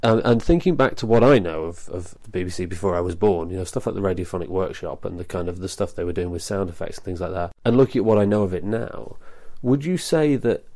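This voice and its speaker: A man's voice: low voice, fast talker, few pauses, dark tone, no echo. A young man with a British accent, asking wordy, thoughtful questions as a podcast interviewer. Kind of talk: conversational interview.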